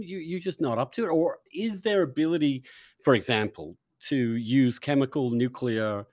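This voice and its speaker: A man's voice: mid-range voice, a little mellow, no room sound, studio quality. A man interviewing a guest, posing long conversational questions mixed with opinionated, emphatic commentary.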